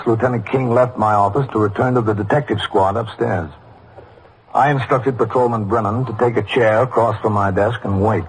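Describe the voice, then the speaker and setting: A stern police captain in a vintage radio crime drama. His voice is fairly low and husky, and he speaks with firm, deliberate authority. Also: low-quality recording. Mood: measured narration. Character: stern, measured male police precinct captain. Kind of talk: old-time radio drama acting and narration.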